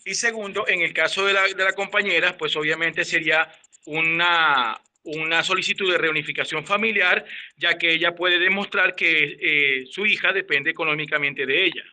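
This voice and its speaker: A man's voice: a little high, steady tone, moderate pace, bright, forceful tone. A man speaking as a lawyer, giving an unscripted explanation in a formal, didactic manner.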